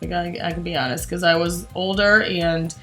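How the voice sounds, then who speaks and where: A woman's voice: on the low side, fairly even intonation, moderate pace, sharp tone, faint music behind. An American woman in her forties chats casually as a co-host, telling personal stories in a candid, self-mocking way. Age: 40s. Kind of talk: casual conversational storytelling.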